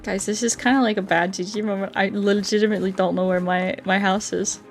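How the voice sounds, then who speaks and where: A woman's voice: mid-range voice, steady tone, a little fast. A woman chatting casually as she livestreams a game, playful and self-deprecating, speaking expressively to her viewers. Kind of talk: casual gameplay chat, reading out viewer comments. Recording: music playing behind the voice. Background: game music.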